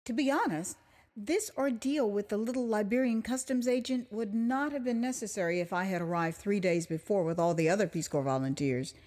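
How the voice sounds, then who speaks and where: An American woman narrating a dramatized memoir as its author, conversational and expressive, with dramatic pauses. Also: medium pitch. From United States (Houston, Texas). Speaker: author and storyteller.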